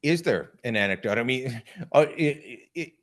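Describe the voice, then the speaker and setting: A man's voice: medium pitch, animated, normal speed, a bit bright. A man hosting an interview who prods his guest and jokes at his own expense. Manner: joking, self-deprecating, prodding. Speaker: interview host. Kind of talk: interview conversation.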